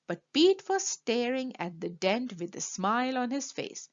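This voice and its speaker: Female storyteller for kids' picture books: unhurried, emphatic read-aloud with big swings in pitch. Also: lo-fi sound. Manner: animated, emphatic delivery for children. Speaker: storyteller reading a children's book.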